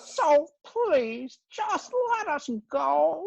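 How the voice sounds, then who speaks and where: A woman's voice: high-pitched, animated, very slow, gravelly, a little mellow, no room sound, full character voice. A woman playing a timid, kind, earnest bird with a stammering manner in a comedy table read. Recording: dry, dull lo-fi audio.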